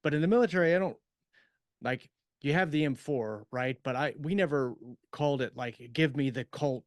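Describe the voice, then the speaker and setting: A man's voice: fairly high pitch, normal speed, no echo, studio quality. A man talking shop casually as a podcast host and writer.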